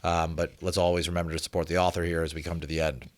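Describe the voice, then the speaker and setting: Low voiced guy reading a book out loud, expressive, chatty, dry close sound. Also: very fast, dry recording. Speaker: reader and presenter of a book reading channel. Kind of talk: dramatic audiobook reading with casual asides.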